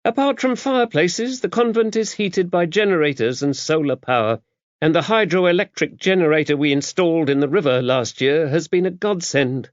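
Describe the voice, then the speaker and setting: A man voicing a gruff, stern, worldly-wise older nun with a brusque manner, in an audiobook. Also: fairly high pitch, moderate pace, no room sound, lo-fi sound, voice pushed up, lightly acted. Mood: gruffly softened. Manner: stern, brusque, matter-of-fact. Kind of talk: audiobook character dialogue.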